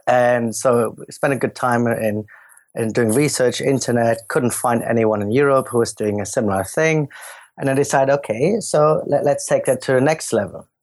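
A man from Germany with a German accent, a startup founder explaining his business earnestly in an interview. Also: medium pitch. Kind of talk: podcast interview.

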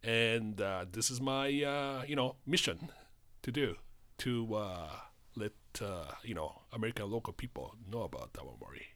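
A man's voice: on the low side, expressive, fairly bright tone. Japanese-accented middle-aged man, hesitant guest, stop-start delivery. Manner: halting, many fillers and restarts. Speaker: guest, spirits importer. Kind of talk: casual studio chat.

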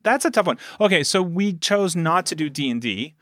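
A man's voice: fairly high pitch, lively intonation, moderate pace, a bit bright, dry recording. Man chatting casually, dry close recording. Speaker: podcast producer and guest. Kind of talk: casual interview conversation.

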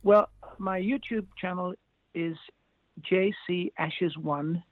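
A male musician chatting as a guest in a relaxed, rambling way, with frequent chuckles. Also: high-pitched, slow, dry recording. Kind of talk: casual interview chat. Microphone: phone line. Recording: tinny dry phone line.

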